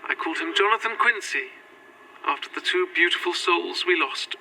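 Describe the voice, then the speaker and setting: Gracious Victorian lady with a bright voice. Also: on the low side, animated, normal speed, a little hiss or hum, low-quality recording. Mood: tender, wistful. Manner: formal, old-fashioned, earnest. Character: gracious, devoted Victorian lady spirit, gentle and firm. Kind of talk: found-footage gothic audio drama acting.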